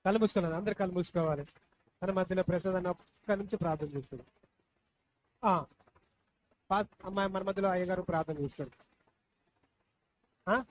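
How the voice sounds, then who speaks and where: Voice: mid-range voice, fairly even intonation, a little fast, long pauses. A puppet show host talking live to children, coaxing and repeating questions. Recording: dull lo-fi live recording, faint noise.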